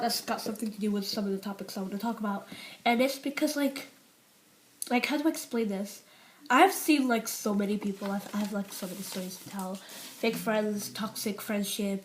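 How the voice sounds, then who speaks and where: A woman's voice: mid-range voice, a little hiss or hum, poor audio. A seventeen-year-old girl from the UK, talking openly to camera with lots of fillers and restarts, her voice sometimes dropping to a near whisper. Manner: rambling, many fillers and restarts; drops to a near whisper on painful topics. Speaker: vlogger. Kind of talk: unscripted personal confession to camera.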